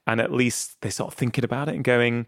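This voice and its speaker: A man's voice: mid-range voice, steady tone, brisk, gravelly, no room sound, clean studio sound. A man hosting a podcast interview, asking open questions in an earnest, appreciative way. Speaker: podcast host.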